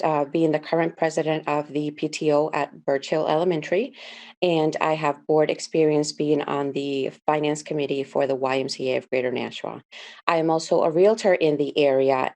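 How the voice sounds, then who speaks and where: A woman in her thirties from the Dominican Republic gives her opening statement as a school board candidate. Her voice sits low, and she speaks earnestly with many small fillers. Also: fairly even intonation, normal speed, a little mellow. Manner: earnest, with frequent "uh" and "um".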